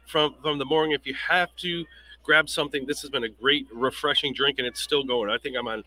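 A man's voice: mid-range voice, fairly even intonation, a little fast, sharp tone. A young adult man hosting a podcast, chatting in an upbeat, casual way and asking questions. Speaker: podcast host. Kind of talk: casual live podcast interview.

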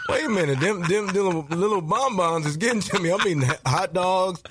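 A man, a former pro football player, chatting as a guest in a lively, laughing way. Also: high-pitched, brisk, slightly rough, dry recording. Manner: animated, laughs often, Southern-style "y'all". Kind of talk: casual chat.

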